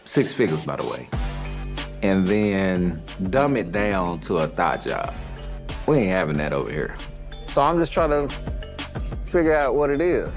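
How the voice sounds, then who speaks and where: A man's voice: deep voice, very animated, measured pace, pauses often, music in the background. A man on a reality show, a comedian, bluntly confronting someone in a heated conversation.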